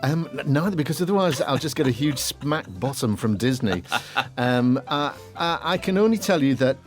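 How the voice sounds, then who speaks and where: A man's voice: mid-range voice, expressive, normal speed, faint music behind. A man answering questions coyly, with hesitant fillers and laughs. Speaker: actor guest. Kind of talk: playful interview chat.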